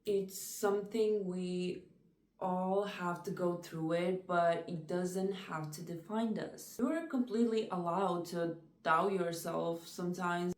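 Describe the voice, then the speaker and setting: A young woman talking to camera about personal struggles in a soft, reflective way, with many "like" fillers. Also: fairly low pitch, roomy sound, far from the mic. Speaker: vlogger.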